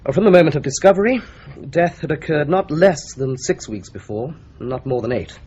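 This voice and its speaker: An actor playing a careful forensic doctor who explains things in a guarded, lecturing way, in a radio drama. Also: male voice, a little high, animated, normal speed, darkish tone, a little hiss or hum, lightly acted. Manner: lecturing, guarded, dryly cautious. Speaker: police doctor. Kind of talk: 1970s radio drama acting. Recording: slightly dull broadcast recording.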